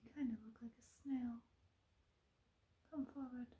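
Woman acting gentle witch, ASMR roleplay, breathy and whispery, slightly high pitch, unhurried, coaxing. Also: steady tone, lightly acted, rough recording. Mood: coaxing, appraising. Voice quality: soft, breathy, often whispered. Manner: slow, coaxing, doting. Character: gentle, self-assured witch turning people into little animals. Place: living room at home.

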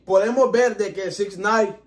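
A young man from Honduras with a Honduran Spanish accent, chatting excitedly as he reacts to a music video in a small room. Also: high-pitched, measured pace, heavy background noise. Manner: chatty, excitable, animated with hand gestures. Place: small indoor room in front of a TV.

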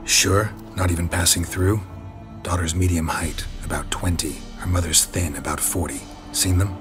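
A very low-voiced, stoic monster hunter from a fantasy video game played by a man, gravelly and deadpan. He speaks in blunt, clipped phrases, world-weary, with loud music underneath. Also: moderate pace, natural voice. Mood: firm, questioning. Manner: terse, deadpan.

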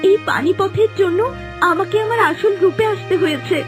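Woman voicing a very high-pitched, earnest young mermaid. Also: normal speed. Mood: earnest, urgent. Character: young mermaid in human guise, devoted and pleading. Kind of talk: fairy-tale cartoon dialogue. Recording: voice under clear background music.

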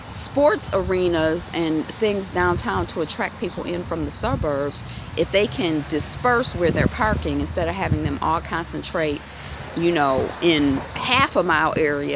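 A woman in her thirties talks casually into a phone held at arm's length while walking down a residential street, musing aloud with many fillers and restarts. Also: low-pitched. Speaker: vlogger. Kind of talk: casual walk-and-talk monologue. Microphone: phone camera at arm's length. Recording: outdoor street noise behind.

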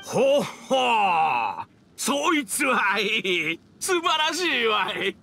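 A man's voice: very high-pitched, sing-song, very slow, creaky, sharp tone, faint music behind, exaggerated voice. A man voicing a jovial, theatrical old yokai spirit in an anime game, with quaint, hearty old-man speech. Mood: delighted. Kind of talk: anime video game voice acting.

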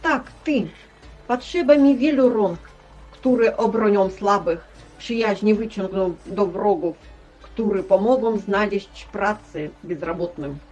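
A gentle, solemn Christ figurine from a religious play, acted by a woman. The delivery is slow and deliberate, pleading with quiet gravity. Faint music plays behind the voice. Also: mid-range voice, rough recording. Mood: earnest, pleading. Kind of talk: dramatic religious stage-play acting.

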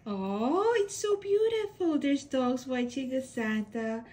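A young woman, a pet channel host reading holiday mail aloud at home, speaking gently with soft laughs and cooing at her dogs. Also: high-pitched, animated, slow. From Estonia. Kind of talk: casual vlog narration reading mail aloud. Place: home room with bed, off camera.

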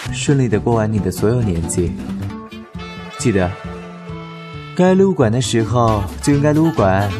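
A man playing a melodramatic, clingy, self-pitying young boyfriend in a comic audio drama, with cheeky, mock-solemn and drawn-out delivery. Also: lowish voice.

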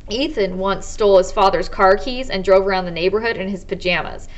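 A woman's voice: medium pitch, a little fast, highs cut off. Young American woman reading a story aloud. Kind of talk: children's novel read-aloud, first-person narration. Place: small indoor office or study.

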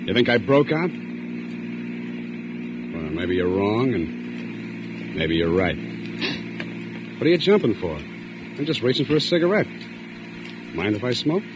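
An actor playing a touchy, menacing young escaped convict in a 1940s radio drama, curt and edgy. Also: male voice, mid-range voice, big pitch swings, unhurried, pauses often. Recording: muffled old radio broadcast, loud hiss.

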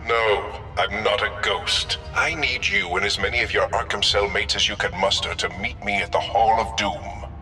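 Bald villain mastermind, curt, commanding, gravelly, distant, echoing. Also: male voice, fairly low pitch, expressive, moderate pace, hardly any pauses, over music. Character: cold calculating bald male mastermind.

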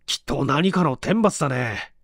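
A man's voice: medium pitch, expressive, moderate pace, fairly bright tone, no echo, clean studio sound, voice pushed down. A man voicing an uneasy, self-deprecating, forgetful man, hesitant and musing, in a dramatic audiobook reading. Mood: resigned.

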